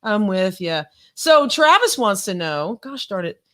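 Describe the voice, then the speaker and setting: A woman's voice: medium pitch, sing-song, a little slow, pushed and bright. A woman in her fifties co-hosting a live podcast, chatting casually and reading viewer comments aloud, laughing often and swearing freely. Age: 50s. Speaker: podcast co-host.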